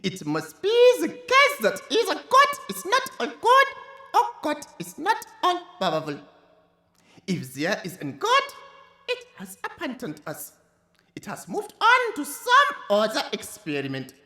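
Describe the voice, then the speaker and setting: A grandiose head of a laboratory in a radio play. The voice is high and bright, swinging dramatically in pitch. Every line is lofty and dismissive. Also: female voice, wildly swooping pitch, a little slow, exaggerated voice. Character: imperious, grandiose scientist leading a research lab. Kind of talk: live radio drama acting.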